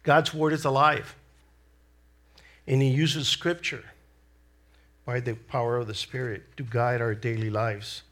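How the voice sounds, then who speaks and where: A middle-aged man from El Salvador with a Salvadoran Spanish accent, whose first language is Spanish, delivering a church sermon in an earnest, deliberate way. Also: medium pitch, little pitch movement, fairly bright tone. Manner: earnest, slow and deliberate with pauses. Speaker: lay preacher.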